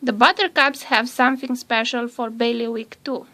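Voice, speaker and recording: A woman narrating a children's storybook in a measured, scripted read-aloud style. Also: fairly high pitch, steady tone, pushed and bright.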